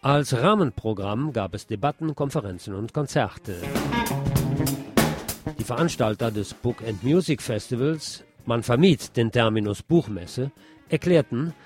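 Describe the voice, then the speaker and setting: A man's voice: medium pitch, lively intonation, a little slow, over loud music. A man hosting a radio magazine, reading scripted news reports in a presenter's role. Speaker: radio host and presenter, also an author.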